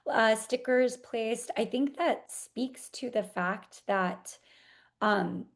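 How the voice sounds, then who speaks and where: A woman artist answering interview questions in a thoughtful, articulate way, explaining things in measured detail. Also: a little high.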